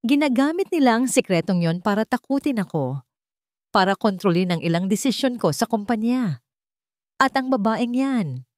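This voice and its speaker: A woman's voice: fairly high pitch, animated, moderate pace, no room sound, studio quality, voice pushed up, close to an everyday voice. A woman voicing an anxious, secretive older mother and wealthy matriarch in a narrated story, urgent and pleading. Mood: fearful, pleading.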